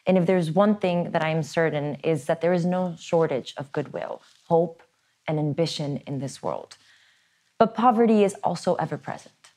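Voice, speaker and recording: Woman, 30s, from Latin America. Earnest scripted benefit host, lower register. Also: moderate pace, mellow. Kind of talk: scripted presenting to camera. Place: in front of a backdrop.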